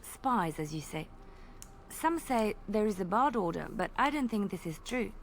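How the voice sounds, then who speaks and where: A woman voicing a young female bard and former spy in a video game, speaking in a soft, lilting way and lingering on detail. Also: mid-range voice, very animated, normal speed, slight background noise. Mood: teasing. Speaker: game companion character.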